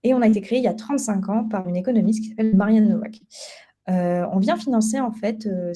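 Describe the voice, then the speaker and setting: Soft-toned young woman hosting a webinar. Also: mid-range voice. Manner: conversational with frequent hesitations. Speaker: project officer and webinar co-host. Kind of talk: live webinar presentation. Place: office at headquarters.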